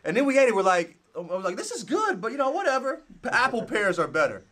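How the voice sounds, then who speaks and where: A man in his thirties chatting casually on a show, telling slangy, animated stories and laughing readily. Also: very high-pitched, quick pace. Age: 30s. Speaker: livestream show host. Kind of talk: casual bantering chat.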